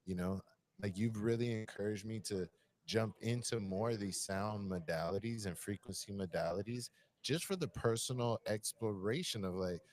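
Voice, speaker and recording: A man with a deep voice, musing at length in a reflective conversation. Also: normal speed, darkish tone. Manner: musing, searching, repeats phrases.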